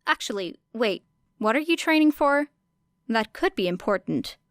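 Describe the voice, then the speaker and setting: A woman's voice: a little high, expressive, moderate pace, no room sound. A woman voicing a brash, teasing young female street fighter who coaches bluntly and casually in an audiobook. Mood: brisk, instructive. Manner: blunt, cocky, casual, sweary. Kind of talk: audiobook character dialogue.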